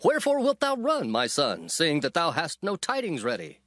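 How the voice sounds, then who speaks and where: Stern biblical commander, highish voice, hugely animated, commanding, archaic audio drama. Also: male voice, normal speed, no echo, clean studio sound. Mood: firm, commanding. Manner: scolding, commanding, archaic speech. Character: stern, impatient male military commander. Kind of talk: dramatized scripture acting.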